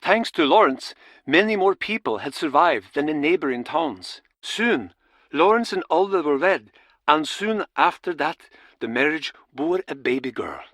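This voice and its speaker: A man acting as a storyteller, solemnly recounting an old town legend in a measured, dramatic way for a game. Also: fairly high pitch, animated, a bit bright, no room sound.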